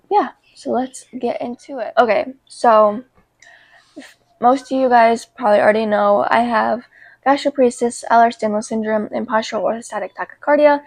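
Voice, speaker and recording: A young woman vlogging casually about her life, congested with a cold, talking in an informal, halting way. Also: a little high, vocal fry, darkish tone, dry recording. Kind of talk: casual chat to camera.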